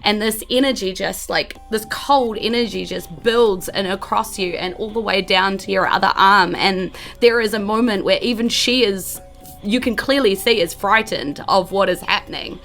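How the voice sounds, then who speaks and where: A woman's voice: medium pitch, moderate pace, fairly bright tone, soft background music. A woman, narrating a tabletop game off the cuff as game master. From New Zealand.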